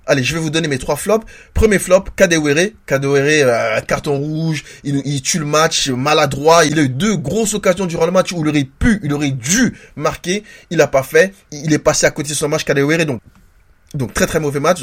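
A man's voice: fairly high pitch, brisk. A man hosting a solo football debrief podcast, congested with a head cold and a sore throat, talking frankly and often restarting his sentences. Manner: frank, repetitive restarts, stammers when tired.

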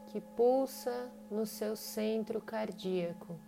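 A woman with a Brazilian accent leads a guided meditation in short, unhurried phrases separated by long pauses. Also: mid-range voice, creaky, background noise. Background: meditation music with singing bowls.

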